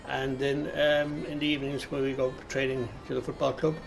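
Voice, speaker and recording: A middle-aged man giving a reflective interview, pausing and searching for his words. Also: mid-range voice, little pitch movement, vocal fry, music in the background, low-quality recording. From Ireland. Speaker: local interviewee, Irish speaker.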